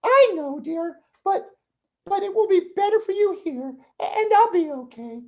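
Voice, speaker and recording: A woman's voice: extremely high pitch, animated, normal speed, dark tone. A woman voicing a fretful, kindly older mother in a puppet show, hesitant and sighing as she speaks. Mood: sad, tender. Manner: hesitant, sighing, repeating words. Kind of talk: children's puppet show dialogue.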